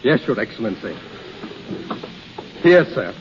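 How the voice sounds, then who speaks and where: An actor in a 1930s radio drama playing a dutiful manservant, speaking with formal, clipped deference. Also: male voice, medium pitch, big pitch swings, a little slow, muffled recording. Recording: band-limited, faintly noisy 1930s radio broadcast.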